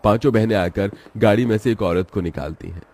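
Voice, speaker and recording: Low, mellow male story narrator. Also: fairly quick.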